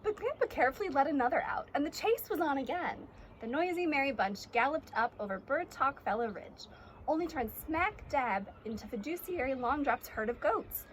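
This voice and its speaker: A young woman reading a children's picture book aloud in an animated style. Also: high-pitched, moderate pace. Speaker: storybook reader. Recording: clearly noisy background.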